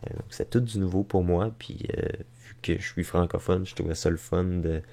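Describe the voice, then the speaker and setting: A man's voice: low-pitched, fairly even intonation, moderate pace, creaky, dark tone. A man with a Quebec French accent narrating an informal travel vlog in a colloquial, unscripted, hesitant way, on noisy amateur audio. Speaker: travel vlogger.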